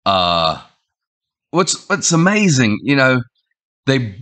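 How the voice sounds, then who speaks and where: A man in his forties with a head cold that makes him sound nasal, talking in a halting, rambling way with many fillers and restarts. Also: medium pitch, sing-song, fairly bright tone. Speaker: online commentator. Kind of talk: casual opinion vlog. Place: small indoor room at home.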